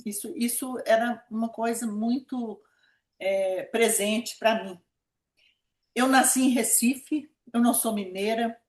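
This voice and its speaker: A woman's voice: fairly high pitch, slow pace, darkish tone. A woman of about seventy with a Brazilian Minas Gerais accent, recalling her life in a conversational interview and repeating words for emphasis. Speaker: interviewee, pediatric nephrologist. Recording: echoey room sound.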